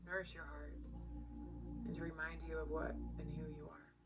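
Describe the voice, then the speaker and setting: A woman teaching yoga leads a guided meditation in a soothing, gentle, unhurried way with pauses between phrases. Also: deep voice, flat delivery. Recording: loud music under the voice. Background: music.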